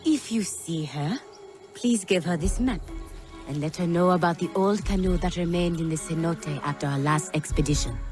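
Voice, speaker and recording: Sorrowful middle-aged mother, played by an actress: low, tender, formal, game drama, music behind. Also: normal speed, darkish tone, natural voice. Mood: cautious, urgent. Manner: solemn, formal phrasing. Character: tender, sorrowful long-absent mother, freed woman in exile. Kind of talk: dramatic video game cutscene acting. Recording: background music.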